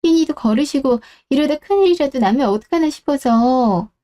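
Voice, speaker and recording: A woman's voice: high-pitched, expressive, normal speed, mellow. A woman playing a polite, considerate supermarket clerk in a radio drama. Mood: concerned. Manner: courteous, considerate. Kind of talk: Korean radio-drama story acting.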